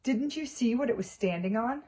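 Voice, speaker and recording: Bossy know-it-all schoolgirl, snappish and lecturing, slightly husky, read by a woman. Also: a little high, expressive, moderate pace, dark tone, pitched above the performer's own voice.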